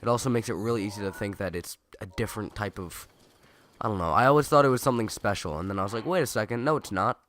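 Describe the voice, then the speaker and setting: A young man with a cold, his nose stuffed and his voice raspy, rambling chattily and correcting himself as he comments on a video game. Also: lowish voice, fast talker, a bit bright, a little hiss or hum. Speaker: let's play gamer. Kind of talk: casual gameplay commentary.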